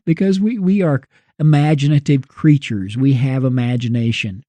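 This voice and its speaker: A man co-hosting a conversational religious commentary, explaining in a measured way and posing questions that he answers himself. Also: mid-range voice, warm tone, no room sound. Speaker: co-host.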